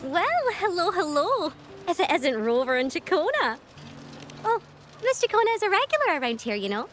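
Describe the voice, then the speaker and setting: A woman's voice: extremely high pitch, big pitch swings, moderate pace, a bit bright, a little hiss or hum, exaggerated voice. A woman playing a bubbly, chatty female venue owner in a game, chirpy and eager. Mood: cheerful, welcoming. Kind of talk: animated game voice acting. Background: music.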